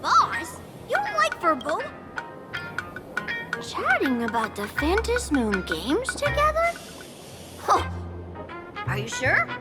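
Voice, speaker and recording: A tiny, loyal furry game creature, with an extremely high, squeaky voice. It is chirpy and exaggerated, with big swings in pitch. Loud music plays behind it. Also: female voice, huge pitch swings, slow pace, pauses often, heavily acted. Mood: eager, deferential. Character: small, cutesy furry mascot creature, eager and loyal. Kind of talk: video game character acting.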